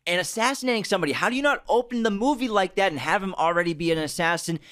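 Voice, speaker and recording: A man co-hosting a podcast about movies, talking in a lively, animated way, often restarting his sentences and acting out what studio executives might say. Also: sits high. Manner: animated, quick restarts and mock-quoting studio bosses. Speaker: podcast co-host. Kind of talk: casual chat.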